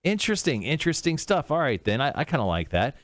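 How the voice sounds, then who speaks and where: A man's voice: on the high side, big pitch swings, quick pace, no room sound. A man co-hosting a casual entertainment talk show, often thinking aloud as he goes. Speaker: show co-host.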